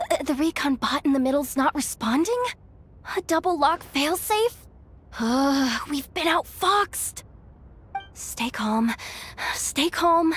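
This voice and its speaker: A woman's voice: sits high, a little hiss or hum. An actress voicing an anxious young female infiltrator in a video game, muttering to herself hesitantly. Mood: flustered. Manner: hushed self-talk, sighing, hesitant.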